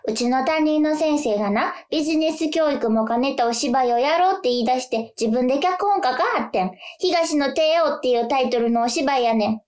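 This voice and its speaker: A woman's voice: high voice, fairly quick, hardly any pauses, a little mellow, natural voice. A woman acting a cheeky, chatty fifth-grade schoolgirl with a Kansai accent in a radio drama. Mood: chatty, earnest.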